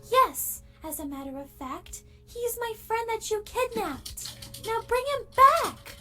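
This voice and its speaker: A woman playing a soft but indignant young pony, prim and earnest, in an animated audio drama. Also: extremely high pitch, takes it slowly, faint music behind, full character voice.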